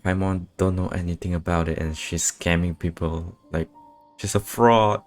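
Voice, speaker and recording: A man with an extremely low voice comments casually on a game he is streaming. His manner is dry and wry, and faint music plays underneath. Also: steady tone, normal speed.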